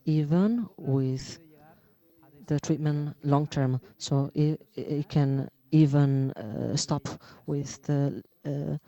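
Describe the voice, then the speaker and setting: Halting man giving a medical lecture. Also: on the high side, creaky, mellow, no echo, dull audio. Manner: halting, many fillers and restarts. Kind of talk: conference lecture.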